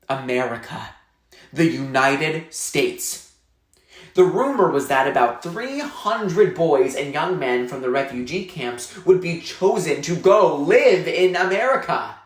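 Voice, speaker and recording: A man's voice: fairly high pitch, animated, slow. A man narrating a story in a scripted read-aloud style. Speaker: reader reading a novel aloud. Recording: echoey room.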